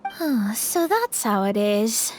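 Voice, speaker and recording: High-voiced, inquisitive young adventurer. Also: female voice, sing-song, slow pace, a little hiss or hum. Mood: thoughtful. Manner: thoughtful, questioning. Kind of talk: video game dub acting.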